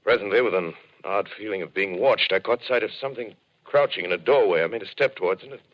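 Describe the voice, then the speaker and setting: An actor playing a weary, educated survivor in a ruined land, speaking in solemn, measured phrases in a 1930s radio drama style. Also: male voice, fairly low pitch, natural voice. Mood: solemn, haunted. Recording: dull, lo-fi 1930s radio recording.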